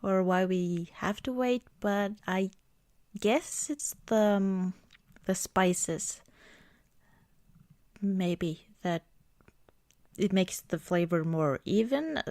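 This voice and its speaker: Slow, soft-spoken woman in intimate ASMR roleplay. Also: mid-range voice, dry recording, clean studio sound. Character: affectionate, cozy girlfriend baking at Christmas. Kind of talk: ASMR girlfriend roleplay, intimate casual chat.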